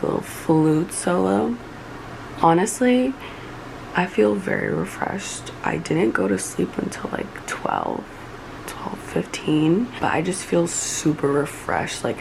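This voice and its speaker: A young woman in her twenties vlogs through her day in a chatty, filler-heavy, upbeat way. Her voice swings up and down with a gravelly fry at the edges. It is recorded on a handheld phone, with clear background ambience and vehicle noise throughout. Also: low-pitched. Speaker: vlogger. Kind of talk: casual day-in-the-life vlog chat.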